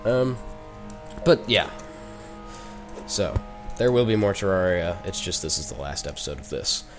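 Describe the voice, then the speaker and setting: Teen male gaming commentator, head cold, lowish voice, loose and jokey. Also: expressive, measured pace, over music. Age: teens. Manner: chatty and rambling, with joking asides. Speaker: gaming YouTuber. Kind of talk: casual gameplay commentary.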